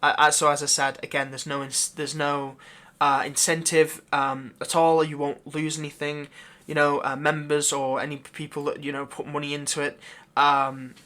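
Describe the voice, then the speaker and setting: A man's voice: on the high side, flat delivery, normal speed, bright, forceful tone. A man hosting his own channel, giving a casual, unscripted update in a rambling, hesitant way. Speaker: channel host, audio roleplay creator.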